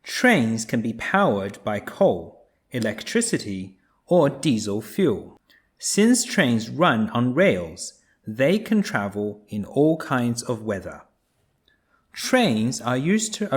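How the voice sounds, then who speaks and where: A man reading a children's nonfiction book aloud, explaining things simply and deliberately for young listeners. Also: medium pitch.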